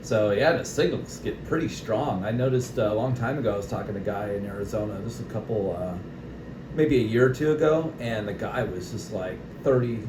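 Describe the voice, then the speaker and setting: Low-voiced American man in an echoey, noisy room. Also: brisk. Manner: chatty, explanatory, often seeking agreement. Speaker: CB radio operator and video maker. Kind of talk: casual radio chat.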